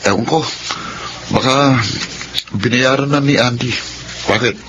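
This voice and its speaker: An actor playing an easygoing, generous young Filipino student friend with a casual manner, in a radio drama. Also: male voice, medium pitch, a little slow, lightly acted. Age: young adult. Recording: muffled, noisy audio.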